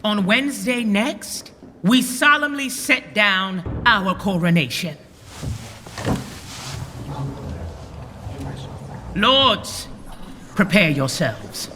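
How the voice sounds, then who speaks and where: High-pitched, imperious new king. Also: expressive, slow pace. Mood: commanding. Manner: formal, imperious. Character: curt, commanding new king taking power. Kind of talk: Shakespearean radio drama acting. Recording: reverberant hall, noisy ambience.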